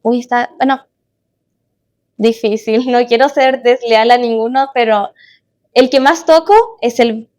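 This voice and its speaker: A woman's voice: on the high side, steady tone, unhurried, long pauses, a little mellow. A woman in her late twenties with a Chilean accent, chatting casually as a podcast guest about her own life. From Chile, born in Guatemala. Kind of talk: casual interview chat, personal reminiscence.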